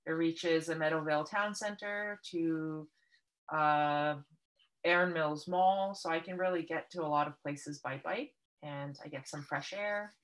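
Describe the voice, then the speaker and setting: A woman's voice: low voice, a little slow, rough recording. A woman from Canada leading an online paper craft workshop, explaining each step patiently and kindly over a video call. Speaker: art workshop instructor.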